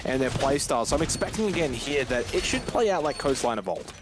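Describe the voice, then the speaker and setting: A man's voice: medium pitch, big pitch swings, fast, music in the background. A man giving live esports commentary in an analytical, conversational manner. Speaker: esports match caster. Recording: gunfire game noise behind voice. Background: video game gunfire and clicks.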